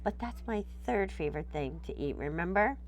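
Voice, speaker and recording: A woman voicing a hungry, picky male chupacabra in a storybook, theatrical, drawn-out and mock-polite. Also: on the low side, lively intonation. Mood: picky, teasing.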